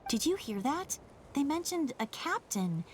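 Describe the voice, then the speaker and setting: A woman's voice: high-pitched, very animated, a little slow, a little hiss or hum. A woman playing an earnest, alert young scout girl in a video game, speaking eagerly. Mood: alert, urgent.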